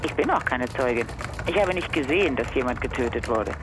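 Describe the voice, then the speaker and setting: An actress playing a guarded, money-minded police informant who bargains, in a radio crime drama. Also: female voice, very deep voice, lively intonation, a little fast, music in the background. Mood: guarded, bargaining.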